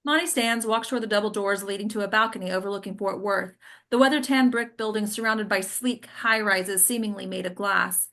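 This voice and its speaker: A woman's voice: mid-range voice, brisk, bright, forceful tone. A woman reading screenplay action lines as scripted narration at a table read. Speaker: stage directions reader.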